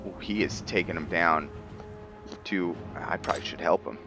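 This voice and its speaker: A man's voice: low voice, fairly even intonation, moderate pace, music in the background. A man giving casual, hesitant commentary on a video game, muttering asides to himself and sometimes reading text aloud. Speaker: gamer hosting a roleplay playthrough.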